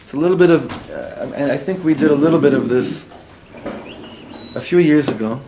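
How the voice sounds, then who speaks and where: Animated rabbi teaching a live class. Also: male voice, fairly high pitch, normal speed, a little hiss or hum, poor audio. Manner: animated, mixes in Hebrew and Yiddish words, questions the audience. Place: room with a live audience. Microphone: recorded for web students.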